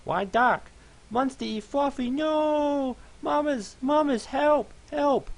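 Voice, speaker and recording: A man's voice: very high voice, slow, gravelly, pitched above the performer's own voice, heavily acted. A man voicing a tiny, frightened, childlike female pet creature that speaks in broken baby talk. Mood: terrified.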